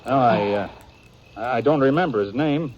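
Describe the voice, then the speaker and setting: A man playing a guarded Old West outlaw with an American accent. He talks in a terse, defensive way at a middling pitch. The old radio recording is dull, with some hiss. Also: moderate pace, lightly acted. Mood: guarded, irritated. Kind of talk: 1950s radio western acting. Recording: muffled, noisy 1950s radio recording.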